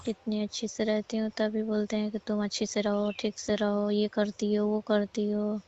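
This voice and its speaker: A woman talks casually on a private phone call. Her voice sits at an average pitch with very little melodic movement, and the call recording sounds dull and lo-fi. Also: monotone, moderate pace, mellow, slight background noise.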